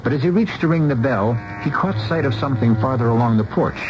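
Measured male radio mystery narrator, muffled sound. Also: mid-range voice, animated, background noise. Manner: measured, deliberate, dramatic. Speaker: host and narrator. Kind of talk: suspenseful scripted radio narration. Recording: dull vintage radio recording.

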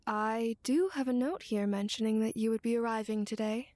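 A woman playing an eerie, soft-spoken caretaker. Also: a little high, moderate pace, dry recording, close to an everyday voice. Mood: formal. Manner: formal, polite, drops to a hush. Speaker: care home receptionist.

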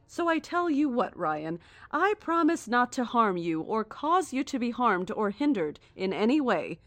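A woman playing a serene but menacing female cult leader in a horror audio drama, speaking in a deliberate, formal, condescending and grandiose way. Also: a little high, very animated, a bit bright, dry recording, full character voice. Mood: calm menace. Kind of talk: horror audio drama acting, live radio address.

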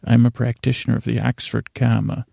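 A man's voice: mid-range voice, flat delivery, a little fast, vocal fry, mellow, no echo, clean studio sound. A man voicing a prim elderly woman, a retired English teacher who interrupts and corrects in a comedy podcast. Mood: prim disapproval. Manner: interrupting, correcting, addresses host as "young man".

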